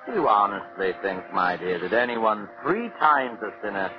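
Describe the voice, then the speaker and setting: A man's voice: on the low side, lively intonation, measured pace, music in the background. An actor playing an urbane, sardonic husband who mocks his wife in genteel, theatrical tones, in 1940s radio drama style. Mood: mocking, triumphant. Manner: theatrical, mocking, genteel exclamations. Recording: dull, hissy 1940s radio recording.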